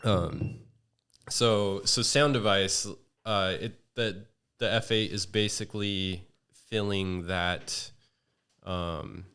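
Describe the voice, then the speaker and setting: A man talking as a podcast guest in casual chat at home, in a monotone way, halting with many fillers and restarts. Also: low voice, clear, resonant tone.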